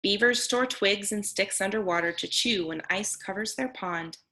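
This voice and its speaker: A woman teaches by reading aloud to children over a video call. Her voice is bright and clear-edged, with a creaky fry running through it. She moves between storybook narration and simple, explanatory asides. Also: medium pitch, normal speed. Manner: explanatory, breaking down words simply. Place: online video meeting with screen sharing.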